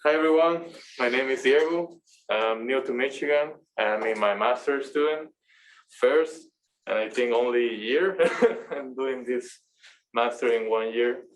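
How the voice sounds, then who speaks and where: Man, halting class talk. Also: medium pitch, low-quality recording. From Venezuela. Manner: halting, searching for words. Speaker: master's student presenter. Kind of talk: class presentation.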